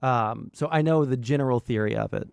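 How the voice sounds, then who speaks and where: A man's voice: mid-range voice, creaky, darkish tone, clean tone, dry recording, clean studio sound. A man hosting a casual gaming livestream, rambling through his points in a blunt, sweary way and often checking in with "right?". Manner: rambling, halting explanations peppered with "right?". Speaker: livestream host.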